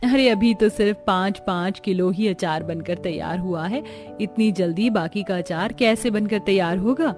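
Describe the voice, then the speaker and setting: Woman as an expressive young village daughter-in-law. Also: medium pitch, normal speed, soft background music, natural voice. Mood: concerned. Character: hardworking, excitable young village daughters-in-law selling pickles. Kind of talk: Hindi cartoon story dialogue.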